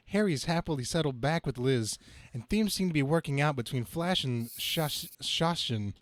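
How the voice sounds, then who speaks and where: A man's voice: on the high side, expressive, a little slow, dry recording. A man voicing a young, harried, wisecracking superhero in a melodramatic, slightly stumbling comic-book reading. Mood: harried, self-pitying.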